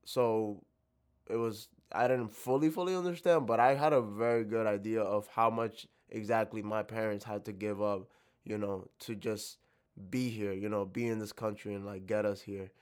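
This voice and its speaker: A man's voice: on the low side, fairly even intonation, normal speed, clean tone. A young man from the Dominican Republic answering interview questions reflectively, with many fillers and restarts. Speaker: interview guest.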